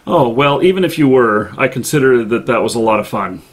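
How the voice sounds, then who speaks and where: A man's voice: mid-range voice, expressive, fairly quick. Man hosting a casual live stream. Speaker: host of a live gaming show.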